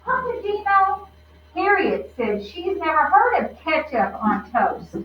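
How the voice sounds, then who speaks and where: A woman's voice: extremely high pitch, very animated, takes it slowly, voice pushed up, full character voice. A woman voicing a sensitive, worried young schoolgirl in a children's storybook, earnest and plaintive. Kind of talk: children's storybook read-aloud. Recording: roomy, distant, muffled, faint noise.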